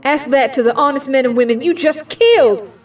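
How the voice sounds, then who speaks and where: A woman's voice: high voice, fairly quick, close to an everyday voice. A woman playing a righteous, defiant young superheroine in an audio drama. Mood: firm, accusing. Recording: slightly distant, faint music.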